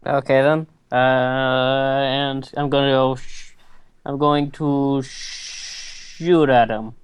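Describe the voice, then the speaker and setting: A man's voice: medium pitch, flat delivery, extremely slow, smooth. A man chatting as a player in a tabletop game, hesitant and casual. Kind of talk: casual gaming chat.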